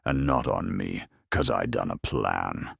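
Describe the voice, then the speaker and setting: A man's voice: a bass voice, measured pace, creaky, breathy edge, no room sound, clean studio sound, voice pushed down, exaggerated voice. A man voicing a cunning, self-assured ork tank-hunter in an audio story, with punchy, slangy speech. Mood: smug.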